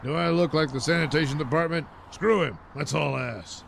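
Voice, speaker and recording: A man's voice: fairly high pitch, moderate pace, vocal fry, a bit bright, a little hiss or hum. An actor playing a flustered, out-of-shape male superhero who stammers and blusters. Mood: gruff. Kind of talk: comedic audio play acting.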